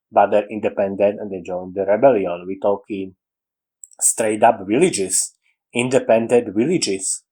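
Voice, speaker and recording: Slovak man, low voice, rambling. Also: mellow, slightly rough, rough recording. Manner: rambling, with frequent restarts and dropped articles. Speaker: writing podcaster. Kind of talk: casual monologue on story writing.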